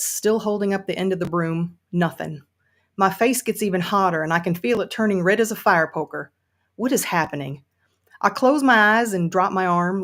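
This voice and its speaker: A woman's voice: mid-range voice, natural voice. A woman playing a seething middle-aged Southern woman, reading first-person fiction with sardonic emphasis and a Southern US accent. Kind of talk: dramatic first-person audiobook-style reading.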